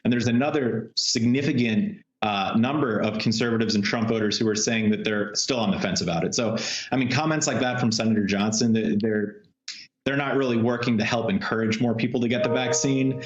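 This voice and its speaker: A man's voice: medium pitch, fairly even intonation, fairly quick. An American man, a political reporter, giving informal live analysis in a loose, conversational way over a remote link from a room. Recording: roomy, dull video call sound.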